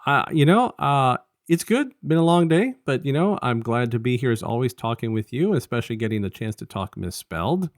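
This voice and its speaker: A man co-hosting a casual review podcast, talking in a halting, rambling way and often restarting his sentences. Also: mid-range voice, big pitch swings, dry recording, clean studio sound. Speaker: podcast co-host.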